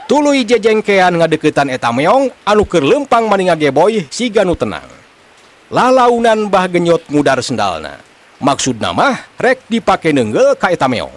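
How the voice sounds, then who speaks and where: A man with a Sundanese accent telling a comic folk tale in an animated storytelling style. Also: a little high.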